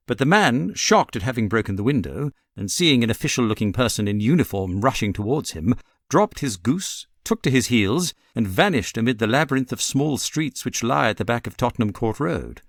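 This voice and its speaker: A self-assured Victorian gentleman detective, played by a male audiobook reader, with a British accent. He is precise, amused and theatrical, with pitch that rises and dips freely. The sound is dry and close. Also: big pitch swings, a little fast, no room sound. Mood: storytelling. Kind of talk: audiobook character reading.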